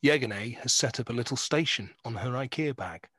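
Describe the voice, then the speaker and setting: A man narrating an audio play, reading stage directions in a scripted, husky voice. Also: mid-range voice, lively intonation, fairly quick, sharp tone, dry recording, studio quality.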